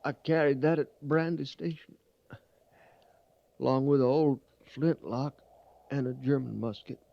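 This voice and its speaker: Dying, defiant soldier, gravelly and halting, old radio western with muffled sound. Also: male voice, mid-range voice, fairly even intonation, no room sound. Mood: pained, confessing. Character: dying, defiant soldier, haunted ex-deserter seeking decency. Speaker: actor in a western radio drama. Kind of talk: 1950s radio western acting. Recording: muffled vintage radio recording.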